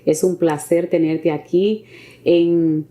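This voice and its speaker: A woman's voice: lowish voice, fairly even intonation, takes it slowly, dark tone. A woman hosting an interview program, speaking off the cuff and often searching for words. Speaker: talk show host. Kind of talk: unscripted interviewing.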